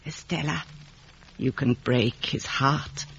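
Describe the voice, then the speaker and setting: An actress playing a bitter, weary, commanding old lady recluse in a 1950s radio drama, with a husky voice. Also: very deep voice, slow pace, exaggerated voice. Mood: cold, commanding. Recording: dull vintage radio broadcast.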